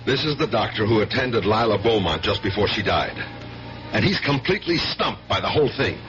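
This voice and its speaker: An actor playing a driven, distrustful police sergeant held as a mental patient, argumentative and sarcastic, in 1970s radio drama style. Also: male voice, on the low side, lively intonation, fairly quick, lightly acted. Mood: pressing, accusing. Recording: muffled humming 1970s radio recording.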